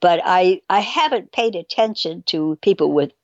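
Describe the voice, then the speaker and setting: A woman's voice: on the low side, animated, normal speed, dry recording, highs cut off. A woman chatting casually as a podcast guest, rambling and hesitant as she thinks aloud. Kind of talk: casual conversational discussion.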